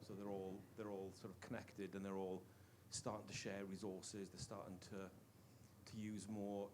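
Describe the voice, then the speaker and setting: A man's voice: low voice, little pitch movement, noisy background. Welsh man asking an earnest question. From Wales. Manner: earnest, halting, many restarts. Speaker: audience member, teacher. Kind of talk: question from the floor at a panel talk.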